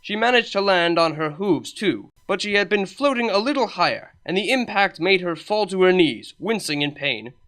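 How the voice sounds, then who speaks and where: A man reading a comedic fan story aloud, narrating with a wry, deadpan touch. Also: sits high, moderate pace, hardly any pauses. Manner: wry, deadpan delivery with lively emphasis. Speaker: fan fiction reader.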